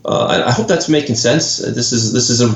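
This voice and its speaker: A man's voice: medium pitch, fairly quick, gravelly. A man from Canada with a Canadian accent, a guest in an interview, who explains ideas thoughtfully with examples and often restarts his phrases. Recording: roomy, dull lo-fi audio.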